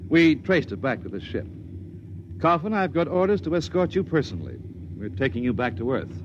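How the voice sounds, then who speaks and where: An actor playing a brisk, matter-of-fact military major in a 1950s radio drama. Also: male voice, mid-range voice, big pitch swings, close to an everyday voice. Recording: muffled old radio recording with noise.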